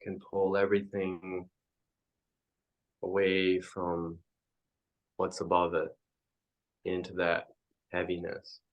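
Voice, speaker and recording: A man leading a guided meditation as a teacher, speaking in halting, reflective phrases with frequent pauses. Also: low-pitched, completely flat delivery, dull audio.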